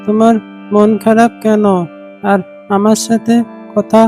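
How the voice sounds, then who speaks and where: A woman's voice: a little high, monotone, unhurried, warm tone, soft background music, lightly acted. A woman voicing a loyal, hurt pet fox in a cartoon, speaking in an earnest, plaintive way. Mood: hurt, questioning. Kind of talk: cartoon character acting.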